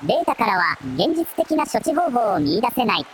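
A woman's voice: on the high side, sing-song, brisk, soft background music, low-quality recording. A woman voicing a formal, analytical robot companion in a radio drama, with stiff, factual delivery. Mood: clinical, serious.

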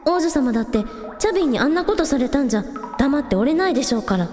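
A woman as a high-pitched, determined village idol. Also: lively intonation, rapid-fire, a little mellow. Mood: inquisitive. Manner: casual, decisive, questioning. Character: young village idol heroine, determined and plain-spoken. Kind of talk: radio drama acting. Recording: echoey hall reverb, faint music behind.

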